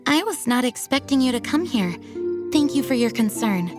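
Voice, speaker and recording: An actress voicing a dutiful, earnest female knight commander in a video game, speaking formally and in measured tones. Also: high voice, expressive, music in the background.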